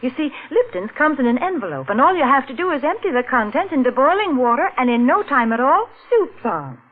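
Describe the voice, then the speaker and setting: A woman announcer giving a chatty, homespun sponsor pitch in 1940s radio style. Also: very animated, fairly quick. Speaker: commercial announcer and host's foil. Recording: thin, narrow old radio recording.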